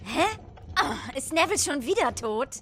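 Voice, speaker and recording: A woman's voice: high-pitched, lively intonation, moderate pace, noisy background, voice pushed up. A woman voicing a bossy, pedantic schoolgirl who lectures insistently in a comic fan audio play. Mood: matter-of-fact. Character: bossy know-it-all schoolgirl, pedantic and exasperated.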